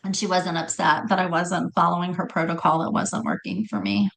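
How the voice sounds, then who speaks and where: A middle-aged woman with a raspy voice telling her personal story in conversation, often stopping to correct herself. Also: on the low side, fairly even intonation, fairly quick, a bit bright, poor audio. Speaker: guest telling health story.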